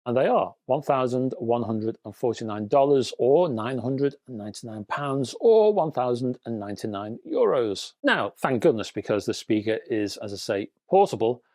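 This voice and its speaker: A man's voice: mid-range voice, a little mellow, dry recording. Man presenting tech news, dry close sound. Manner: conversational, rhetorical questions and wry asides. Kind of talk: product news presentation to viewers.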